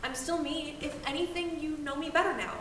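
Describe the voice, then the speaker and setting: A young woman playing a guarded, anxious grown daughter who talks in halting, hesitant bursts with her mother. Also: high voice, steady tone, a bit bright. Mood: quiet. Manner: hesitant, halting, then heated. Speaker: college student. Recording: echoey room, distant mic, noisy ambience.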